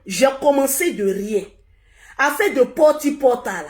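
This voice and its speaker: A woman hosting a casual live stream, hoarse and husky from a cold, repeating herself as she urges viewers to share. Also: high-pitched, expressive, a little slow. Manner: repetitive, urging viewers to share. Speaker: livestream host.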